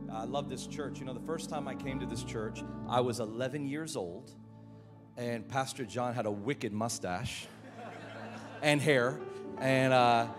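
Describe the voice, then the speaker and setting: A man with an American accent preaching a lively, joking sermon as a guest pastor, often stumbling and repeating words. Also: medium pitch, measured pace, big-room sound, music in the background. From Philippines.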